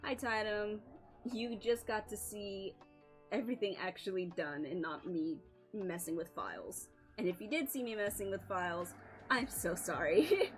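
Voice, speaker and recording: A woman's voice: mid-range voice, moderate pace, soft background music. A woman streaming live with a scratchy voice, chatting casually, apologizing often, swearing and laughing a lot.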